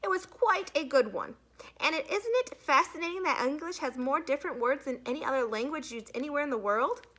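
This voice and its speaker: A woman's voice: high voice, animated, a little fast, pushed and bright, voice pushed up. A woman voicing a stern, authoritative female schoolteacher in a storybook, lecturing with emphasis. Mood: pointed praise.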